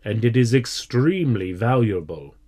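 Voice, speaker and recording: A man voicing a worried, earnest male schoolteacher in a storybook, speaking in a measured, pleading way. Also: medium pitch, expressive, warm tone, close to an everyday voice.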